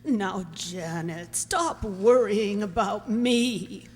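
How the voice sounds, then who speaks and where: Elderly spinster, played by a woman, fond and hesitant radio-play voice, slow delivery, echoing hall. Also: medium pitch, breathy edge. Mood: firm. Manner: unhurried, hesitant, repeats words. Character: fond, lonely elderly spinster, gentle but insistent. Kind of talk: amateur radio drama acting. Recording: echoey hall, faint music.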